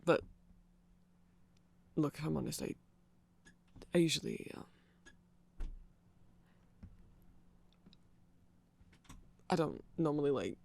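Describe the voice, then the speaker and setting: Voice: mid-range voice, expressive, moderate pace, creaky, a little mellow, no echo. A performer playing a nerdy, polite vampire shopkeeper in an ASMR roleplay, hesitant and stammering, rambling apologetically in casual chat. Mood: awkward, hesitant.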